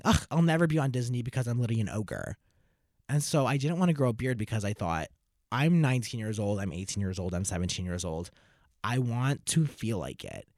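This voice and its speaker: A man's voice: fairly low pitch, no room sound, studio quality. Theatrical young man hosting a podcast. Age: 19, nearly 20. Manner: animated and theatrical, with sudden loud bits of play-acting. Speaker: podcast host. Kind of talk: solo podcast monologue.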